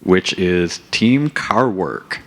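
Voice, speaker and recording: A man's voice: deep voice, expressive, fairly bright tone, a little hiss or hum. A man emceeing a hackathon, calling up teams and asking the judges for questions. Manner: plain, unhurried announcing. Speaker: event emcee. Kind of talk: hosting announcements. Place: conference stage.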